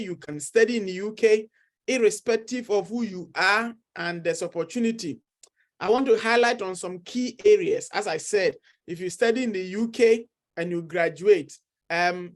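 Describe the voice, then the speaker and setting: Earnest man with a Ghanaian accent. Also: sits high. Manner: earnest, repetitive, encouraging. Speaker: study-abroad consultant. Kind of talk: persuasive talk to camera.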